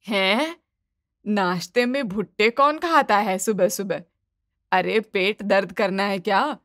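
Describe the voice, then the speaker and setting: A woman's voice: medium pitch, slow pace, fairly bright tone, no room sound, studio quality. A woman voicing an impatient, scolding middle-aged mother-in-law in a cartoon family story. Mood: exasperated, scolding. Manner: scolding, exasperated. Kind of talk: animated moral story dialogue.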